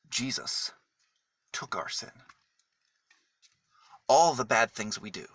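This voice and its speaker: A man reading a children's story aloud in a dramatic, deliberate manner with emphatic pauses. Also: mid-range voice, pushed and bright, no echo.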